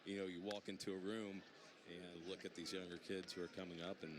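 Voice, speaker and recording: Male pro athlete, very low voice, rapid but halting casual interview, clear outdoor noise. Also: flat delivery. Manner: hesitant, repeats words, many "you know"s. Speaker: professional baseball player.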